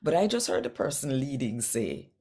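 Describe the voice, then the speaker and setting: A woman from Jamaica with a Jamaican accent, telling a faith testimony in an earnest, measured way. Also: very deep voice, very animated, mellow, breathy edge. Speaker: Bible reader sharing testimony. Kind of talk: personal faith testimony to camera.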